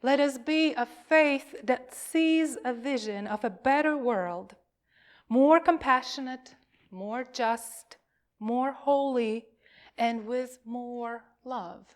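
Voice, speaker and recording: A woman minister leading worship, delivering poetic words in a solemn, emphatic and deliberate way. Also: a little high. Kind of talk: call to worship, poetic reading.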